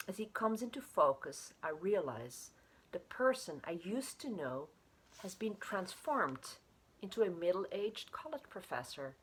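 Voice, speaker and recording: A woman reading her own literary short story aloud in an expressive way. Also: on the low side, breathy edge.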